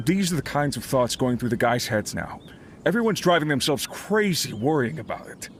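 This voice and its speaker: Man playing an earnest miner, medium-pitched. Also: expressive, normal speed, a little hiss or hum, natural voice. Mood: worried. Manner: explanatory, earnest. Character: earnest, uneasy male miner and crew leader. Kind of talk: video game dialogue acting. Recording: game music behind the voice.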